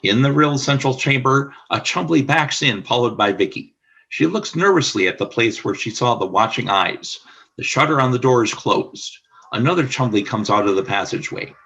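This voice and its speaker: A male narrator reads the scripted action of a radio drama. His voice is bright and crisp-edged, with plenty of gravelly fry at a medium pitch. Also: expressive, moderate pace, highs cut off. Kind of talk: scripted narration of radio play stage directions.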